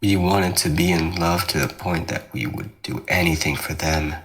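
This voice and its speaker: A man playing a young ghost twin in an ASMR roleplay, taunting and obsessive, speaking with measured, coaxing menace. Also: low voice, fairly even intonation, a bit bright. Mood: darkly fascinated.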